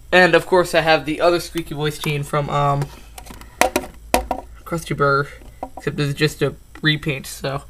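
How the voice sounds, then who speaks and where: A man's voice: fairly high pitch, fairly bright tone. Rambling fifteen-year-old boy vlogger. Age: teens. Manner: rambling, with many ums and self-corrections. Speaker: toy collector vlogger. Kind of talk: casual collection update vlog.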